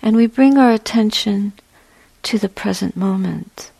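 A woman meditation teacher speaks very slowly and gently, leaving space between short phrases. Her soft voice is husky with a creaky edge, guiding listeners step by step in a measured way. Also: mid-range voice, no echo, low-quality recording. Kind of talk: guided meditation talk.

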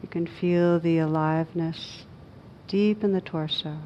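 A woman teaching a guided meditation, speaking gently in short, unhurried phrases with pauses between them. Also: fairly low pitch, fairly even intonation, highs cut off, a little hiss or hum.

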